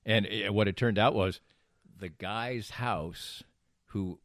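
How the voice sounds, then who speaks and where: A middle-aged or older man hosting a podcast, trading playful banter and telling stories in a casual, halting way. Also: lowish voice, vocal fry, fairly bright tone, no echo, studio quality. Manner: joking, playful, halting delivery. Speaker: podcast host.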